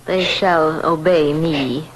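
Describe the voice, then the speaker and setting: A woman's voice: low-pitched, fairly even intonation. A woman playing a cold, sweetly domineering stepmother in a theatrical 1940s radio horror drama. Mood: cold, menacing. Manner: slow, deliberate, theatrical. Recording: noisy, crackly old radio recording.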